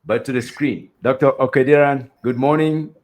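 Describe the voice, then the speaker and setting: A man from Cameroon hosts an interview podcast over a video call. His mid-range voice carries an enthusiastic, rhetorical style, and he keeps backing his guest with steady mm-hmms. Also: mid-range voice, moderate pace. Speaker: podcast host.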